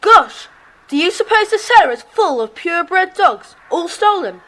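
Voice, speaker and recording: An eager, easily indignant child in a children's audio drama. The voice is very high and bounces around emphatically. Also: female voice, big pitch swings, a little slow, pushed and bright, full character voice.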